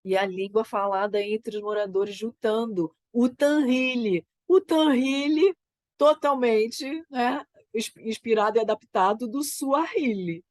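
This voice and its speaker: A woman with a Brazilian Portuguese accent, a theater creator getting over a cold, talking about her work in a reflective, halting way and often tagging her sentences with "né?". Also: fairly high pitch, a little mellow, dry recording, clean studio sound. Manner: thoughtful, halting, frequent "né?" tags. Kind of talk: reflective conversation.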